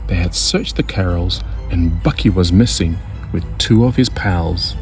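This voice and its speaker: Guy narrating a kids story as storytime host, really slow and deliberate, lowish voice, bit of music under. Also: vocal fry. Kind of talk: children's read-aloud narration.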